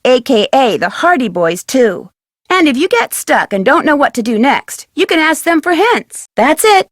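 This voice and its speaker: Perky young female sleuth for a video game: high, very animated, articulate, inquisitive and polite. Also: no echo, studio quality. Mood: cheerful, encouraging. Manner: chirpy, articulate, friendly instructing delivery. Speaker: game protagonist and tutorial guide. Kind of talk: scripted video game voice acting.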